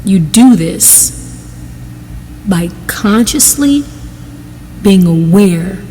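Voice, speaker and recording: A woman giving guided meditation instruction in a measured, deliberate way, pausing between short phrases. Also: mid-range voice, lively intonation, dark tone, long reverb tail, slight background noise.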